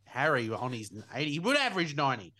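A young man talks sports in a loose, joking podcast chat. His voice is bright and forward, with a playful edge, and he keeps it casual. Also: medium pitch, fast talker, slightly rough. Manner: jokey, animated, laughs often. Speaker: podcast co-host.

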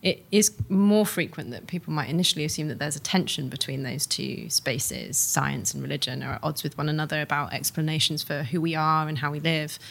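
A woman interviewing in a thoughtful academic style, setting out her questions in a measured, discursive way. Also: deep voice, creaky, fairly bright tone, slight background noise.

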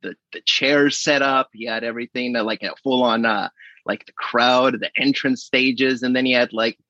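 A man's voice: mid-range voice, steady tone, moderate pace, pushed and bright, no room sound, highs cut off. A man in his late thirties, a podcast guest, talking casually and rambling a bit, with many fillers. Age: late 30s. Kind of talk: casual remote conversation.

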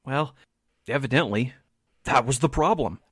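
An actor playing a hesitant, nervous witness in a 1940s-style radio drama, halting and hedging as he confides. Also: mid-range voice, fairly bright tone, husky, dry recording, studio quality. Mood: confiding.

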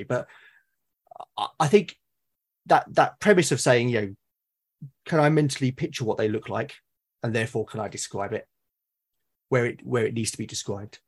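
A British man talks casually and reflectively at an ordinary male pitch. His voice is a little husky. He works through his points haltingly, rewording as he goes. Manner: halting, thoughtful, self-correcting explanations. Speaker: podcast guest or co-host, tabletop game master. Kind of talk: casual reflective conversation about roleplaying games.

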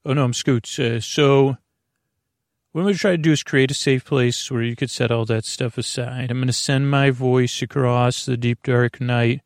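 A man hosting a bedtime podcast, talking in a lulling, creaky way and wandering off on meandering tangents. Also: medium pitch, fairly even intonation, moderate pace, no echo, clean studio sound. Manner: meandering, tangent-prone, self-interrupting. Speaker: podcast host. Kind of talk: rambling bedtime podcast talk.

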